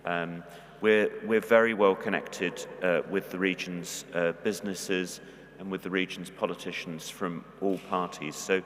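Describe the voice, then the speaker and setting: Very deep-voiced man answering a panel question. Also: fairly even intonation, fairly bright tone. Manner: measured, hesitant, many fillers. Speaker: senior academic panellist. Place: large hall on stage. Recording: long hall reverb.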